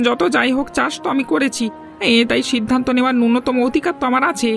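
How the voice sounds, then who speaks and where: A man's voice: extremely high pitch, fairly even intonation, quick pace, vocal fry, fairly bright tone, full character voice. A man plays a young village farmer. He is an earnest younger brother who protests his case in a cartoon, with faint music underneath. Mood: aggrieved. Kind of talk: moral-story cartoon dubbing.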